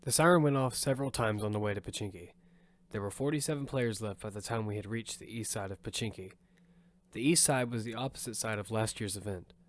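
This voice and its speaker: Damian, a man voicing a steady young survivor. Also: on the low side, brisk, natural voice. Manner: earnest, matter-of-fact storytelling. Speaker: narrator and lead character. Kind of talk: first-person audio drama narration. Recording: loud music score behind.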